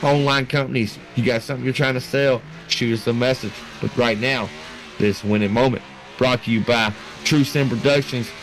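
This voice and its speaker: A man giving excited, folksy live play-by-play commentary on a sim race, in an animated and colloquial way. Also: medium pitch, moderate pace, poor audio. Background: racing game vehicle noise.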